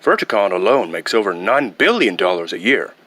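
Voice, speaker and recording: A man voicing a smooth, matter-of-fact second-in-command to a villain in a film comedy impression, measured and businesslike. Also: lowish voice, big pitch swings, bright, forceful tone, voice pushed down, full character voice. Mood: dry, corrective.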